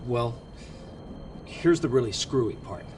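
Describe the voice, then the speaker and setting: An actor playing a stubborn, earnest young American federal agent in a video game, speaking deferentially with some hesitation. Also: male voice, mid-range voice, animated, unhurried, darkish tone, a little hiss or hum, natural voice. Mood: earnest, hesitant. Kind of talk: video game cutscene acting. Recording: game dialogue over background music.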